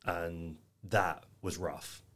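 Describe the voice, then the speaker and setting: A man's voice: sits low, fairly even intonation, drawn-out words, a bit bright, slightly rough. An English-born man, chatting easily as a podcast guest, rambling with lots of fillers. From England. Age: 20s. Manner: easygoing, rambling, lots of "you know". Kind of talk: casual interview chat.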